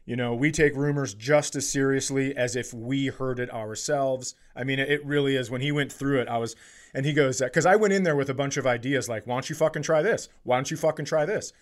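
A man's voice: mid-range voice, brisk. A man with an American accent chatting casually and comedically as a podcast co-host, bantering and swearing freely. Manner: profane, bantering.

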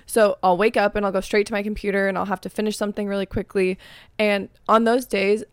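A young woman chats through her solo podcast episode, her voice raspy as she is losing it but still even and smooth at the core. She rambles in a frank, filler-heavy way, and the sound is dry and close with no room around it. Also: mid-range voice, quick pace, dry recording. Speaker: podcast host. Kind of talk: casual solo podcast chat.

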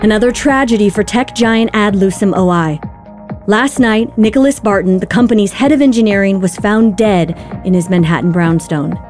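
An actress playing a polished female morning news anchor in an audio drama, with crisp, presentational broadcast delivery. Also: mid-range voice, normal speed, music in the background, close to an everyday voice. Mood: serious, brisk.

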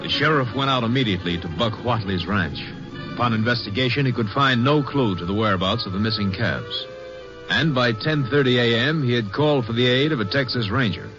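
A man's voice: medium pitch, a little fast. A man with an American accent narrating a 1950s radio drama in a formal, matter-of-fact way. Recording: muffled 1950s radio recording. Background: music.

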